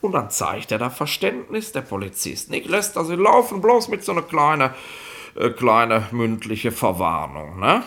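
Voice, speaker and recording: Gravelly, rambling petty police inspector. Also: male voice, medium pitch, big pitch swings, normal speed, sharp tone, full character voice. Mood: chummy, explaining. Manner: rambling, colloquial, condescending explainer with constant tag questions. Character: chatty, self-important petty police inspector, bureaucratic and superstitious. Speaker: actor in a radio play. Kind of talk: 1960s German comic radio play acting.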